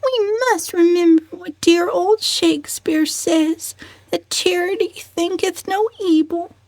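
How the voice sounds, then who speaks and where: A prim, fussy lady from a dramatized novel, as a woman reader plays her. She speaks softly and gently in a very high voice. The sound is dry and close. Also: slow pace, no echo, exaggerated voice. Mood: soothing. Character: gentle, fussy, superstitious female cousin, soothing and sniffy.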